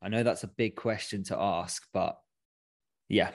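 A man co-hosting a casual podcast, speaking in a lowish voice. He is friendly and laid back as he poses questions to a guest. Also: steady tone, unhurried, gravelly. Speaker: podcast co-host.

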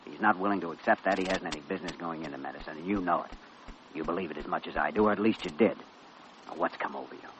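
A man acting an earnest, principled young doctor in an old-time radio drama, measured, firm and sincere. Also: low voice, fairly bright tone. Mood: stern, concerned. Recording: muffled, noisy vintage radio recording.

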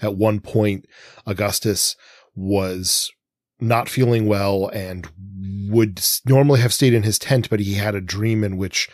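Male podcast co-host, lowish voice, dry close sound, discursive literary talk. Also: fairly even intonation, unhurried, no echo. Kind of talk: literary discussion of comics and mythology.

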